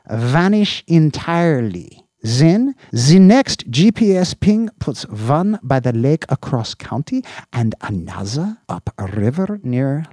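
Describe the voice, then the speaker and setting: A man voicing Slope, a petty male official with a shrill, wheedling voice. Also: mellow, dry recording, studio quality, exaggerated voice. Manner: high, shrill, wheedling and cooing.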